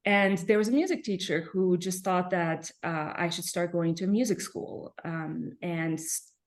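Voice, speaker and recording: A woman from Serbia, a pianist, answering interview questions reflectively with frequent soft fillers and pauses. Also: fairly low pitch. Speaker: pianist guest.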